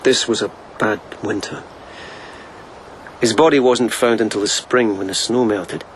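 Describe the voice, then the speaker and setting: An actor playing a gentle, wry, devoted police lieutenant in a radio drama, speaking softly and with measured intimacy. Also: male voice, lowish voice, fairly even intonation, a little hiss or hum, lightly acted, rough recording. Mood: sombre storytelling.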